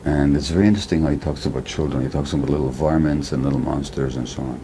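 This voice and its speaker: An older man from Hungary, speaking as a therapist in an interview clip, measured and critical. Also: rumbling low, steady tone, background noise.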